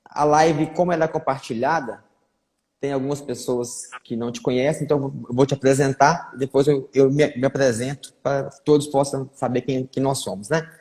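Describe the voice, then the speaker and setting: A man's voice: mid-range voice, fairly even intonation, brisk, darkish tone. A man, a neurologist, hosting a casual live-stream interview in a chatty way, often tagging his sentences with little check-ins. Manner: chatty, repeats fillers like "né". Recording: echoey room with long reverb.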